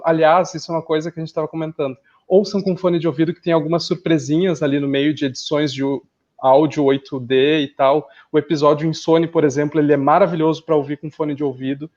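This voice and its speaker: Higher-voiced southern Brazilian man chatting, muffled audio. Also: a little mellow. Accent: southern Brazilian, Porto Alegre. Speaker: interview guest, podcast creator. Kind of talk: casual conversational interview.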